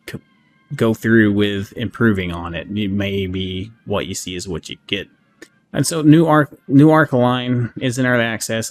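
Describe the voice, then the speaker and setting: A man giving a casual game review, rambling and thinking aloud as he goes, with an easy readiness to chuckle. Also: on the low side. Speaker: gaming channel host. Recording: clearly audible background noise.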